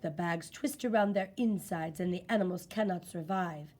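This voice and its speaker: A woman voicing a grave male village butcher in a low, hushed voice for a storybook. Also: normal speed, lightly acted.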